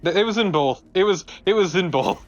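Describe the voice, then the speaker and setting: A man's voice: high-pitched, expressive, fairly quick, highs cut off, faint music behind. A man giving casual video game commentary in a blunt, sweary, conversational way. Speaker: let's play co-commentator and gamer.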